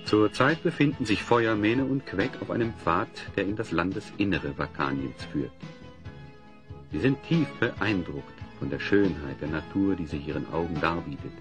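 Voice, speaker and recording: A man narrating a fantasy audio drama in a measured, dramatic, scripted storytelling style. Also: deep voice, lively intonation. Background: music.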